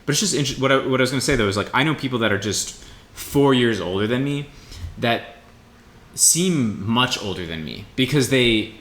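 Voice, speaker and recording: A man in his mid-twenties in a casual, reflective podcast conversation, rambling and informal as he thinks out loud. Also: medium pitch, animated, moderate pace. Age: mid 20s. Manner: rambling, informal with mild swearing. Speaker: podcast co-host. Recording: roomy echo with faint background noise.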